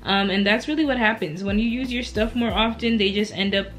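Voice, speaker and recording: A woman in her early twenties chatting casually through a Q&A vlog while lying back on her bed at home, relaxed and laughing often between answers. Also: mid-range voice, brisk, soft background music. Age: 20s. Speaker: beauty and lifestyle YouTuber.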